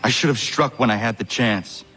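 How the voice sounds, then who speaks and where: A man's voice: medium pitch, moderate pace, bright, forceful tone, lightly acted. A man playing an earnest, intense young warrior who speaks solemnly and formally in a video game cutscene. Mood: grim, determined. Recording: music clearly behind the voice.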